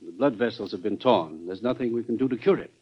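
An actor playing a concerned, formal physician, grave and courteous, in an old-time radio drama. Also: male voice, on the low side, fast talker, husky. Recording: dull, lo-fi vintage radio recording.

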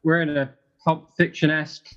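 Brief: fifty-year-old British guest, higher-pitched voice, dry self-mocking humor, slightly muffled remote audio. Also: male voice, flat delivery, normal speed, a loud crowd. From United Kingdom. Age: 50s. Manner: self-deprecating, dry jokes. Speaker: podcast guest. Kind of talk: casual podcast chat.

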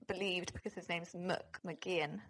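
A woman's voice: fairly low pitch, fairly even intonation, moderate pace, fairly bright tone. A woman co-hosting a podcast in casual chat, reacting supportively. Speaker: podcast co-host.

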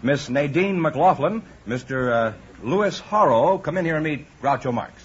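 A man's voice: on the high side, lively intonation, normal speed, breathy edge. An American man working as announcer on a radio quiz, reading out rules, scores and contestants. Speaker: quiz show announcer and sidekick. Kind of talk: scripted game show announcing. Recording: dull, lightly noisy old radio recording.

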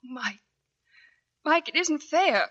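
A woman's voice: high-pitched, animated, slow, a bit bright, heavily acted. A woman acting a spirited, loyal Navy nurse and sister in a 1940s radio drama. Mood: pleading. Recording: dull 1940s radio recording.

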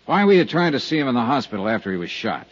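An American actor playing a hard-nosed, skeptical insurance investigator, questioning bluntly in the style of 1950s radio detective drama. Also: male voice, mid-range voice, big pitch swings, very fast, breathy edge. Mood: probing. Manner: blunt, pressing interrogator. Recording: dull old 1950s radio recording.

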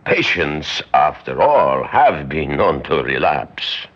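An actor in an old-time radio drama playing a calculating male conspirator who speaks in a measured, formal, deliberate way. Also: extremely low pitch, very animated, a bit bright, exaggerated voice. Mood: coolly conspiratorial. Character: smooth-talking, calculating male conspirator, secretly an examining official. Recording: dull, muffled old radio recording.

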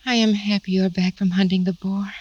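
A woman's voice: medium pitch, little pitch movement, fairly quick, a little mellow, dull audio, natural voice. A woman playing a proud, imperious seventeen-year-old Russian general's daughter in an old-time radio drama, haughty and dramatic. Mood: anxious, tender.

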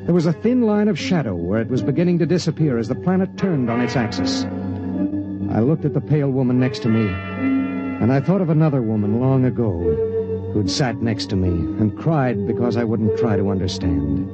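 A man's voice: medium pitch, lively intonation, normal speed, lightly acted. An American actor playing a grave, haunted spaceship pilot who narrates his own story in terse, brooding style in a 1950s radio drama. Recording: muffled old radio recording with background music.

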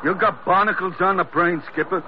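An actor playing a brash, excitable sailor crewman in a vintage radio adventure. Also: high voice, brisk, close to an everyday voice. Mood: excited, incredulous. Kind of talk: 1940s radio adventure acting. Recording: dull old radio recording, faint noise.

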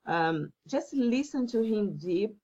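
A woman whose first language is Portuguese, a guest talking earnestly about faith with halting phrasing and many fillers. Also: mid-range voice, lively intonation, dark tone, highs cut off. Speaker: podcast guest. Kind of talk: faith-based interview talk.

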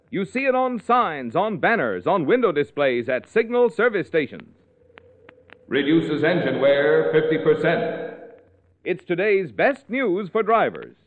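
A man reading an emphatic commercial as an announcer on vintage radio. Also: fairly high pitch. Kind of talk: 1940s radio advertising read, emphatic slogans. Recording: echoey, tinny old radio recording.

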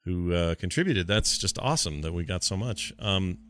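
Male podcast host, low-ish bright voice, easygoing rambling delivery. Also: expressive, normal speed, dry recording, clean studio sound. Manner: loose and rambling, many fillers. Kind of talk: casual chat, wrapping up a tabletop game show.

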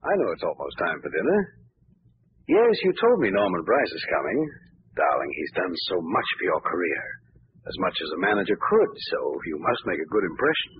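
A man's voice: low voice, huge pitch swings, a little fast, slight background noise. An actor playing a tender painter speaking to his wife in a 1940s radio drama. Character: devoted man, painter, tender. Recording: tinny old radio broadcast.

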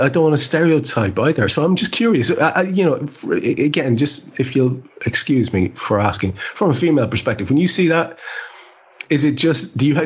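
A man's voice: mid-range voice, husky. A man with an Irish accent hosting a casual football podcast, wordy and meandering, mixing swearing with ornate phrasing. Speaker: podcast host. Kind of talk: casual chat about football. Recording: dull, lo-fi podcast audio.

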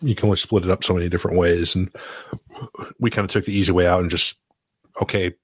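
Deep husky man breaking down betting lines. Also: fairly even intonation, no room sound. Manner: measured, analytical, hedging. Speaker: podcast co-host. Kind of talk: casual sports betting chat.

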